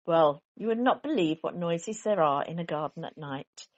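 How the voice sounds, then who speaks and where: A woman reading a children's picture book aloud in a deliberate, animated storytelling manner. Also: lowish voice, muffled recording. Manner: deliberate, animated, with character sounds. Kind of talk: expressive children's story narration.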